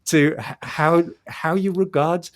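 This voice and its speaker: A man's voice: on the high side, steady tone, slow. A man explaining science in conversation as an interview guest, with an enthusiastic manner and repetition for emphasis. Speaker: podcast guest, cosmologist explaining research.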